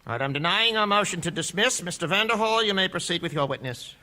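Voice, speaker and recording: A presiding judge in a radio courtroom drama. His voice is fairly high and bright, with a formal, decisive, authoritative delivery. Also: expressive, fast talker, full character voice. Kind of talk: radio play acting.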